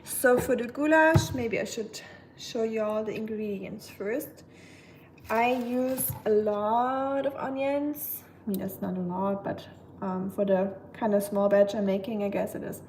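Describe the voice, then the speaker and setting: A woman's voice: mid-range voice, unhurried, a little mellow. A young woman from Germany with a German accent, chatting casually with live viewers while she cooks, joking in a dry, sarcastic way. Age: young adult. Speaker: cooking streamer. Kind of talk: casual live-stream chat while cooking. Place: home kitchen. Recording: knife chopping noise behind.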